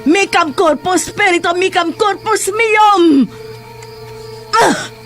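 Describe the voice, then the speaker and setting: High-pitched, obsessive Filipino occultist. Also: male voice, a bit bright, faint music behind, heavily acted. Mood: rising incantation. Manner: slow, intense, incantatory. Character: desperate, obsessive male occultist chanting dark incantations. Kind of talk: horror audio drama acting.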